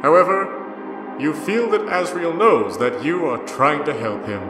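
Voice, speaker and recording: A man playing a solemn, mysterious narrator, reading scripted game-style narration in a measured way. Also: fairly high pitch, very animated. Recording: echoey reverberant sound, music behind.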